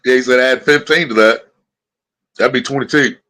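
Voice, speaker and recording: A man's voice: medium pitch, normal speed, bright, forceful tone. A man hosting a livestream. He chats casually and tells rambling stories full of swearing. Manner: profane, rambling storyteller. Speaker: livestream host.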